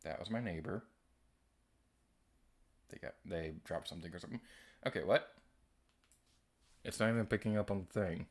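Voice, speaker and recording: Soft-spoken man streaming a game. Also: low voice, moderate pace, pauses often. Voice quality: naturally quiet voice. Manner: soft-spoken, rambling and self-deprecating. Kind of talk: casual gameplay commentary.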